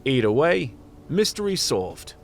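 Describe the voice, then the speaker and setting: An actor playing a world-weary fantasy detective in a noir radio play, brooding and dramatic. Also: male voice, mid-range voice, sing-song, a little slow, vocal fry, slight background noise. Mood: resigned, wry. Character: hard-boiled fantasy detective, world-weary, knowing, dealer with demons.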